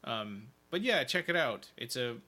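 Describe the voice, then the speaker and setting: Male podcast co-host, very bright forward voice, mid pitch, casual and somewhat hesitant reviewer. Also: sing-song, moderate pace.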